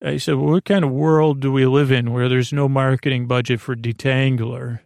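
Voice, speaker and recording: A man hosting a bedtime podcast in a meandering, halting monologue, doubling back on phrases with deadpan whimsy. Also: medium pitch, vocal fry, dry recording, studio quality. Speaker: podcast host.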